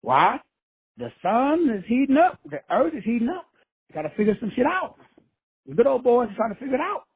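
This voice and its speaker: A man's voice: very high voice, sing-song, brisk, husky, no echo. A man from Texas hosting a call-in show, preaching profane motivation with swagger and slang. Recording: tinny phone line, dry.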